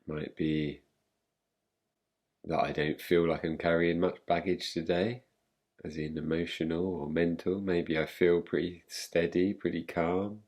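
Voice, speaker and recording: Very deep, slow-speaking male meditation guide. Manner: calm, gentle, unhurried with long pauses. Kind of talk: guided meditation, yoga nidra.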